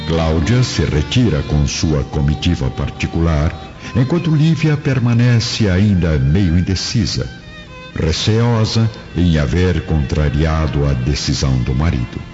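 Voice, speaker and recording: A man's voice: very deep voice, animated, a little slow. A man delivering solemn, scripted narration for a radio drama. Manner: solemn storytelling. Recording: muffled, echoey reverb, music behind.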